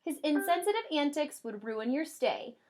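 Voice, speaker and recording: Animated high-voiced woman teaching young children. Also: a little hiss or hum. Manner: expressive, drawn-out storybook emphasis; simple explanations addressed to young children. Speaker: kindergarten teacher. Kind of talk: animated children's read-aloud with comprehension questions.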